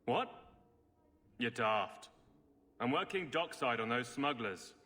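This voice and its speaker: An actor playing a plain-spoken, put-upon city guardsman in a video game, grumbling and gruff in manner. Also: mid-range voice, lively intonation, slow, pushed and bright, full character voice. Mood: annoyed. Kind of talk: video game character acting.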